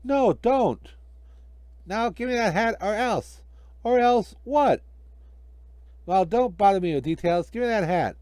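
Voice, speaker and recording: A whiny, scheming storybook villain, a greedy magician pitched far up. He wheedles and swoops about comically, in a close, dry sound. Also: expressive, measured pace, pauses often, a little mellow, dry recording. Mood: demanding.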